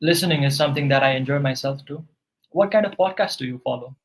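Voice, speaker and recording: A man's voice: medium pitch, quick pace. A man working as an English tutor, patiently giving corrective grammar feedback in a one-on-one session.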